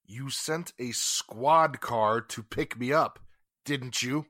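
A man's voice: mid-range voice, takes it slowly, pushed and bright, no room sound, studio quality. An actor playing a haughty, sarcastic, indignant businessman in 1940s radio drama style. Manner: pompous, formal, sarcastic. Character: haughty, indignant wealthy businessman under police questioning.

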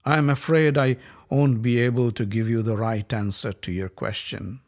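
An old elder speaks low and quiet, measuring each word with grave hesitation, as a storyteller acts him. His voice drops beneath the narrator's own. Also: fairly even intonation, lightly acted. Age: older. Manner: soft, slow, measured.

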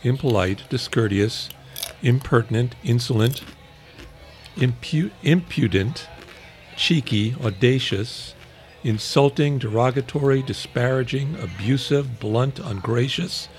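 Middle-aged man, rambling casual talk, stumbling restarts, medium-pitched voice. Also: measured pace, a little mellow, faint music behind. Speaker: podcast co-host. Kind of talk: casual married-couple chat.

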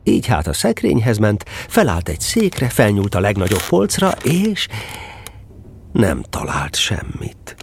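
A man narrating a children's radio play in an expressive, playful tone. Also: lowish voice, vocal fry, slight background noise.

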